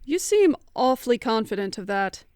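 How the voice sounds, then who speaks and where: A woman's voice: on the high side, very animated, normal speed, a little mellow, clean tone, dry recording, studio quality. A woman playing a polite, practical, slightly wary traveler in a fantasy audio drama.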